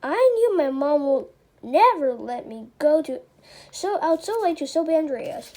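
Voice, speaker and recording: Girlish high female voice reading a kids book out loud, soft mellow tone, trips on words n rereads. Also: very animated, a little slow. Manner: stumbles over words and rereads phrases. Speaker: read-aloud reader. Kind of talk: children's chapter book read-aloud.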